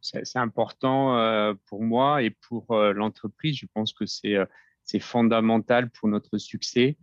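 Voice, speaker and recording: A man in his forties, a company executive being interviewed, speaking hesitantly with many pauses and restarts. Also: on the low side, fairly even intonation, no room sound, lo-fi sound. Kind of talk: podcast interview.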